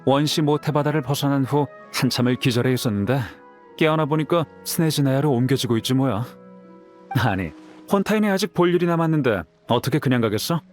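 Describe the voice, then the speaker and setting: Man playing a breezy, animated young warrior. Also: medium pitch, brisk, soft background music, lightly acted. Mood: breezy, determined. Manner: casual, animated. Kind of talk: Korean game voice acting.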